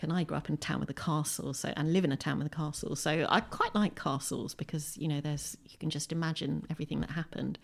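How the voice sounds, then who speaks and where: A woman with a British accent and a fairly low voice, recorded in a home. She speaks as a guest in a book chat, with keen, emphatic enthusiasm, and slips into reading aloud. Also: creaky. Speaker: guest writer, poet. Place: a room in a home.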